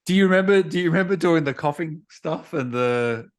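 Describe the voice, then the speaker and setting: A man hosting a relaxed, informal interview, chatting and putting questions to his guest. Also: a little high, brisk. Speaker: podcast host, interviewer. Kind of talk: casual interview chat.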